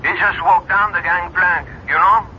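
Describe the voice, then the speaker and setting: An actor playing an eager, naive, deferential helper in a radio drama, reporting hesitantly and respectfully. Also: male voice, high voice, normal speed, bright, forceful tone. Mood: nervous eagerness. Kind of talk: 1970s radio drama acting. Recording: tinny, noisy old radio broadcast.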